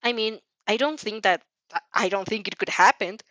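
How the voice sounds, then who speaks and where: A woman's voice: mid-range voice, normal speed, sharp tone, dry recording, lightly acted. A woman voicing a nervous, stubborn teenage girl student in a fan fiction reading, hesitant and defensive. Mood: exasperated.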